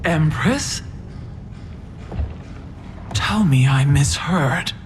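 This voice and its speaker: A woman's voice: sits low, expressive, drawn-out words, heavily acted. An actress playing a proud, loyal warrior queen in a video game, stern in delivery. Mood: indignant. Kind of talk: dramatic video game acting. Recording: long echoing hall, music behind.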